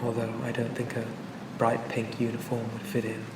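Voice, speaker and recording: Man playing a gentle, even-toned AI assistant. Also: mid-range voice, normal speed, reverberant, recorded from a distance, very noisy, natural voice. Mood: pleasant, encouraging. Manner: gentle, hesitant, reassuring, conversational. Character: charming, pleasant male AI personal assistant for a police officer. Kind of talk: soothing ASMR roleplay.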